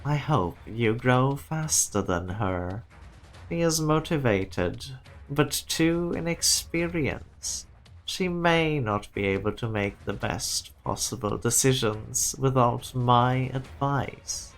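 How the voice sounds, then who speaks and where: Man acting a stern, slow-talking lab boss. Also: medium pitch, expressive. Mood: calm, condescending. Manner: measured, condescending. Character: stern, self-assured male laboratory boss, distrustful and exacting. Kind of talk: amateur fan-dub acting.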